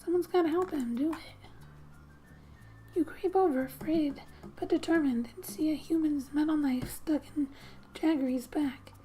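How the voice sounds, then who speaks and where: A woman speaking softly and breathily in gentle ASMR style, often slipping into a whisper as she reads a game story aloud with casual asides. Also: high voice, moderate pace. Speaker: gaming ASMR creator. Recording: quiet background music. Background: soft music.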